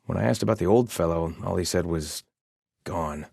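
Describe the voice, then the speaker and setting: Haughty, low-voiced soldier in exile. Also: male voice, moderate pace, creaky, darkish tone, husky, dry recording, studio quality, heavily acted. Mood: stern, disdainful. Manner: stern, disdainful, formal phrasing. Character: haughty, devout male Carja soldier in bitter exile. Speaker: video game voice actor. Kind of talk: video game character voice lines.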